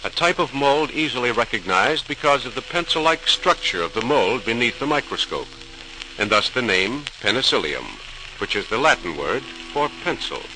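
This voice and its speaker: A man's voice: mid-range voice, expressive, moderate pace, bright, forceful tone. A man narrating a 1940s radio drama as a solemn, suspenseful storyteller, theatrical and emphatic, on a crackling old recording. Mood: expository, building. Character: solemn, suspenseful male storyteller of scientific discovery.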